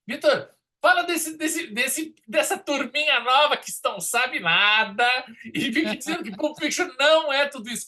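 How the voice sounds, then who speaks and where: Bright, forceful man. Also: sits high. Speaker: podcast host and film critic. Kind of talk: casual film discussion.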